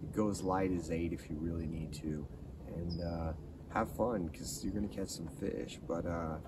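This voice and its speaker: A man's voice: low voice, moderate pace. A middle-aged man hosting a fishing channel, reviewing a lure to camera in a casual, rambling, enthusiastic way while sitting outdoors in a pine forest. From California. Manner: relaxed, rambling, enthusiastic about gear. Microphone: camera at a distance. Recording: distant outdoor mic with background noise.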